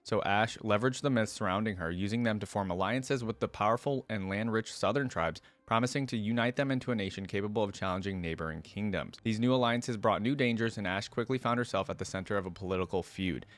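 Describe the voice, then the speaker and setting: A man's voice: fairly low pitch, quick pace, few pauses, no room sound. A man hosting a reaction video, talking casually and thinking aloud as he comments and reads text out.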